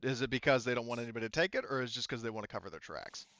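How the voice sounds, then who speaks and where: Guy running a tabletop rpg, kinda halting explainer, dry lo-fi audio. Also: mid-range voice, expressive, a bit bright, no echo. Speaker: game master. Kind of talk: narrating a tabletop roleplaying game.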